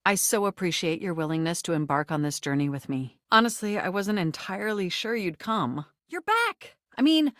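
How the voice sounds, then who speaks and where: A woman's voice: fairly low pitch, sing-song, normal speed, hardly any pauses, fairly bright tone, no room sound, clean studio sound. A woman playing a perky, vain, flirtatious home computer come to life in a video game, with a chirpy, gushing, theatrical delivery. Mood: delighted. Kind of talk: video game character voice acting.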